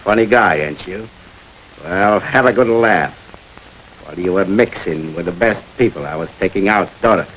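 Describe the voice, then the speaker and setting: A man's voice: low-pitched, normal speed, fairly bright tone, a little hiss or hum, low-quality recording. An actor playing a brash, ambitious gangster who taunts his boss in tough street slang, in an old film noir radio drama style. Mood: mocking.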